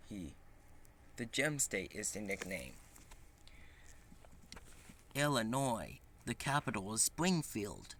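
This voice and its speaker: A man with a fairly low, bright voice reads a children's book aloud. He goes slowly and haltingly, and his pitch swings widely as he reads. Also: big pitch swings, slight background noise. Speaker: storybook reader.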